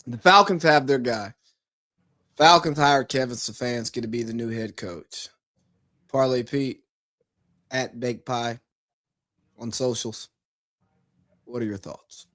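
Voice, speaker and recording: A man's voice: mid-range voice, takes it slowly, pauses often, a bit bright, no echo, clean studio sound. A man from the South, co-hosting a sports podcast in a teasing, laid-back way. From rural US South. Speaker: podcast co-host. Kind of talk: casual sports podcast chat.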